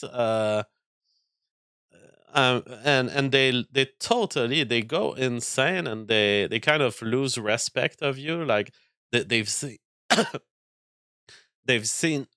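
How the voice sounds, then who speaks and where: Man with a weak, halting voice. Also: medium pitch, sharp tone, clear, resonant tone, no room sound, clean studio sound. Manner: halting, many restarts and fillers. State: weak, tired voice. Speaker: livestream host reading viewer chat. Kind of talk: casual livestream monologue.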